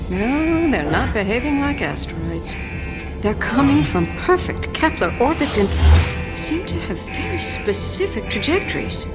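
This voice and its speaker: A high-voiced female expert speaks gravely and firmly with animated emphasis. It is radio drama, under loud music. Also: a little slow, poor audio. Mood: grave, emphatic. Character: grave, firm female space expert correcting others.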